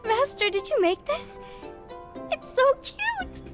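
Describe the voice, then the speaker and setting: An actress playing a gentle, softhearted young female apprentice, earnest and emotional. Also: very high voice, very animated, slow, full character voice. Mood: delighted. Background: game score music.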